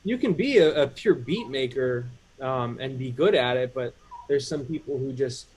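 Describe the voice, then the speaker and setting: A man's voice: mid-range voice, animated, normal speed, a little mellow, slightly rough, poor audio. A man hosting a casual live chat, coaching a guest on music production with lots of fillers. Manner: mentoring, gives advice, many fillers. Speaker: host.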